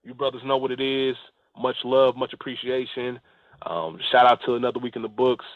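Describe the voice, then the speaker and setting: A man's voice: mid-range voice, steady tone, fairly quick, no room sound, narrow, tinny audio. A man speaking an earnest, appreciative thank-you as a guest leaving a voice note. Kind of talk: heartfelt spoken thank-you message.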